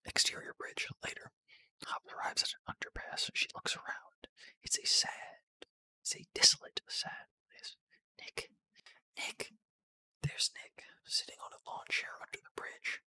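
An American reader from Central Florida whispering a script in a breathy, voiceless ASMR style. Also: normal speed, sharp tone, rough recording. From Central Florida, United States.

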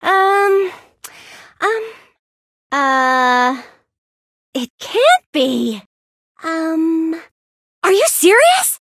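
A zany teenage rocker girl for an anime game. Her voice is extremely high and bright, swinging widely in pitch. The delivery is exuberant, playful and exaggerated. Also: big pitch swings, drawn-out words, pauses often, dry recording, studio quality, heavily acted. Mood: stunned, hesitant. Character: hyperactive, zany teenage girl rock musician. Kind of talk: anime video game voice acting, short exclamations.

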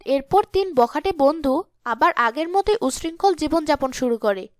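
A woman's voice: high-pitched, expressive, normal speed, no room sound, clean studio sound. A woman reading scripted storytelling narration for a cartoon.

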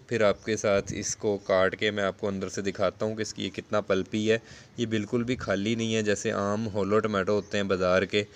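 A man's voice: lowish voice, clean tone. A man giving a casual, explanatory gardening vlog narration in a conversational, repetitive way. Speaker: home gardening video host.

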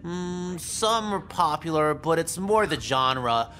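An awkward, bashful teenage anime fan for a visual novel game. He talks very slowly in a lowish voice, mumbling and hesitating as if unsure of every word. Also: lively intonation, fairly bright tone, a little hiss or hum, full character voice. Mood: shy, nervous. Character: awkward, shy anime-obsessed teenage boy.

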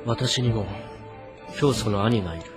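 A man playing an earnest, composed warrior commander who speaks in a measured, probing way in a game drama. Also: lowish voice, over loud music, close to an everyday voice. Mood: reflective. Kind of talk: dramatic audio-novel game acting.

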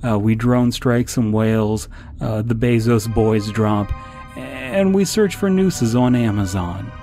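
A man giving a podcast introduction in a halting, mock-corporate manner. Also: mid-range voice, dark tone, faint music behind. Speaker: podcast host. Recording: noisy background ambience.

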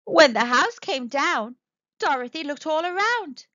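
A woman reading a children's story aloud as narrator, in animated storytelling style. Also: sits high, measured pace, dry recording, lo-fi sound.

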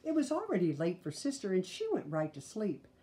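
A woman in her sixties narrates a picture book with a mellow tone and very lively melody. She reads expressively and drops in little asides to the listeners. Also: fairly low pitch, fairly quick. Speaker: storybook reader. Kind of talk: children's read-aloud narration. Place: small living room, indoors.

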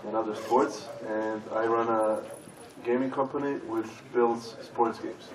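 A man from Serbia, speaking haltingly as a conference panelist. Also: lowish voice, steady tone, crowd noise. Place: large conference hall with audience. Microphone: stage microphone heard from far off. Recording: echoey hall, distant, audience noise.

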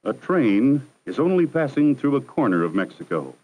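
A man narrating a 1960s educational film in a measured, formal, instructive manner. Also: mid-range voice, very animated, darkish tone, poor audio. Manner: measured, formal, didactic delivery. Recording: 1960s archival film soundtrack.

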